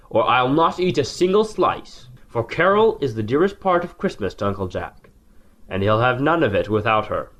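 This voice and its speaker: A man's voice: medium pitch, fairly bright tone. A man playing a jolly, theatrical uncle who reads his letter with playful mock-pompous flourishes in an audio drama.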